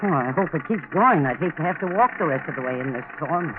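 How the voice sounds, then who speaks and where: An actress playing a fearless, blunt, middle-aged farm woman in a 1940s radio drama, brisk and plain-spoken. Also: low voice, expressive. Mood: matter-of-fact. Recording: scratchy, tinny 1940s radio recording.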